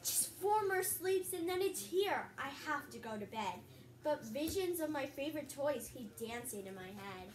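A woman's voice: sits high, animated, moderate pace. A young girl co-hosting a casual storybook reading, chatting and reading aloud. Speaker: co-host and storybook reader. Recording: distant mic, roomy echo, faint noise.

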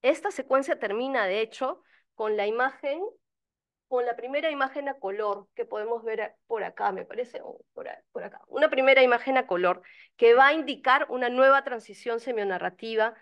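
A woman presenting research at an academic panel in an explanatory, somewhat halting way, with self-corrections and check tags. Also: a little high, muffled recording. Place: indoor conference room, seated at panel table.

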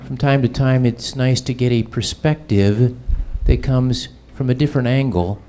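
A middle-aged man, a pastor, introducing a guest speaker in a church hall, unhurried and pausing with fillers, holding a handheld mic. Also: medium pitch, fairly even intonation, dark tone, slight background noise.

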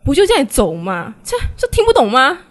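A young woman plays a venomous love rival in a radio drama. She talks fast in a high, very animated voice, haughty, brash and full of scorn. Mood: mocking, hostile. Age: young adult.

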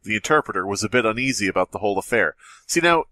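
A man's voice: lowish voice, lively intonation, rapid-fire, bright, forceful tone, dry recording. A man co-hosting a podcast, riffing and joking casually between bits of reading. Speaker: podcast co-host. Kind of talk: casual comic banter and reading.

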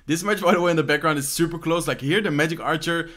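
Young Dutch-accented man, slightly high voice, relaxed rambling Q&A host, second-language English, home desk mic. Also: fast talker. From Netherlands. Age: young adult. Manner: rambling, many "like" fillers and "how you say this" searches. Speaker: esports player answering fan questions. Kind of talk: casual Q&A chat. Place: bedroom at home, indoors.

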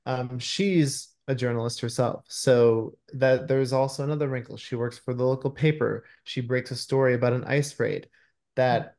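A man's voice: mid-range voice, fairly even intonation, moderate pace, a little mellow. A man in his thirties giving earnest, conversational explanations as a screenwriter in a video-call interview. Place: indoor room at home, video call. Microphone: video call microphone.

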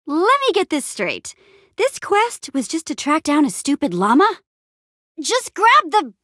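A spirited young heroine from an adventure video game. Her voice is high and swings widely in pitch, with a plucky, playful manner. The delivery is unhurried and the recording is close and dry. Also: bright, forceful tone, no echo, heavily acted. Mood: exasperated. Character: spirited young female adventurer and town leader.

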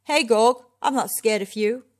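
A woman voicing a small male creature in a children's storybook, excitable, proud and earnest. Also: on the high side, normal speed, no echo, studio quality, lightly acted. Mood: proud, taunting. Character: timid little male creature, grown giant and boastful. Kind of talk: children's storybook character reading.

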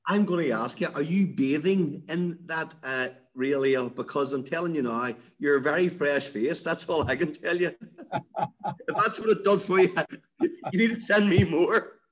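A man's voice: fairly high pitch, moderate pace. A middle-aged man hosting an interview over a video call from an office, upbeat and jokey. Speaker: interview host. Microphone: laptop webcam on a video call.

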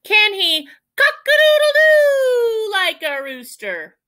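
A woman doing a bossy, high-pitched scornful hen. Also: big pitch swings, bright, forceful tone, full character voice. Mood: challenging. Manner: taunting, emphatic, drawn-out delivery. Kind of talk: children's storybook read-aloud.

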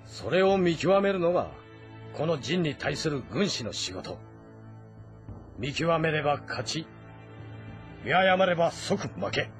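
A man playing a shrewd, composed ancient Chinese military strategist in a game drama, speaking formally and in measured explanations. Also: on the high side, big pitch swings, music in the background. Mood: grave, explaining. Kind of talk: dramatic audio-novel acting in a video game.

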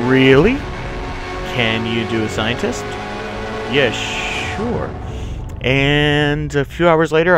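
A man's voice: mid-range voice, low-quality recording. Chatty young man over music. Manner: chatty, rambling anecdotes. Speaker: voice actor. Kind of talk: casual interview. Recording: music bed underneath.

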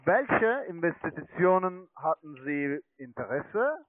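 Man, telephone sales pitch, non-native broken phrasing, hesitant, slow, tinny phone audio. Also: very animated, dry recording. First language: not German. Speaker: telemarketing caller, online trading account manager. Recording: tinny narrowband phone line.

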